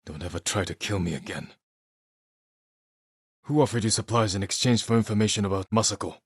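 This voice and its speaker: An actor voicing a grave, determined samurai warrior in a video game, speaking in measured, solemn, terse lines. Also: male voice, on the low side, husky, dry recording, studio quality. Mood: angry, betrayed, then grave, focused. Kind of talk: dramatic game character dialogue.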